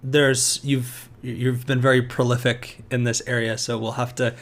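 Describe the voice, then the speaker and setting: A man hosting a podcast interview, asking questions in a measured way and correcting himself now and then. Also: medium pitch, little pitch movement. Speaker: podcast host.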